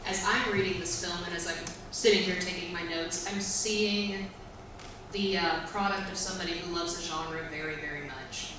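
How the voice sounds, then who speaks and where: Loud-voiced woman in echoey hall. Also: on the low side, steady tone, moderate pace. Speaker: Q&A moderator. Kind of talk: asking prepared questions. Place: theater. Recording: echoey theater from far off, noisy.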